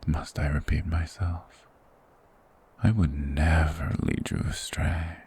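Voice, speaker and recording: A man performs an ancient-sounding, sinister yet soothing male forest spirit in a hypnotic fantasy ASMR roleplay. The voice is very deep and heavily gravelled, with a breathy hush. He speaks slowly and formally, coaxing the listener along. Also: a little hiss or hum, full character voice.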